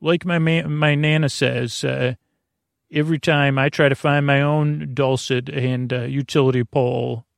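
A man delivers a loose, wandering bedtime podcast monologue in a noticeably creaky, fry-laden voice. He stops, restarts and drifts into gentle absurdity with mild humor. The sound is close and very dry, with hardly any room around it. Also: medium pitch, steady tone, no echo. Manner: meandering, halting, self-interrupting. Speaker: podcast host.

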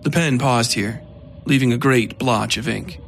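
A man narrating dark horror fiction from a script in a measured, literary storytelling manner. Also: medium pitch, creaky, faint music behind. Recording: faint ambient background noise.

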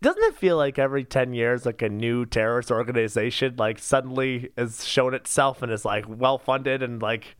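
A man's voice: mid-range voice, lively intonation, normal speed, few pauses, smooth, no room sound, studio quality. A man co-hosting a podcast in casual, joking chat, with slangy and hesitant phrasing. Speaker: podcast co-host.

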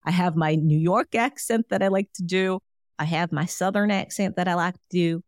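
A woman's voice: on the low side, normal speed, dry recording, clean studio sound. A woman hosting a solo wellness podcast, talking in a chatty, encouraging way with frequent fillers. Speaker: podcast host.

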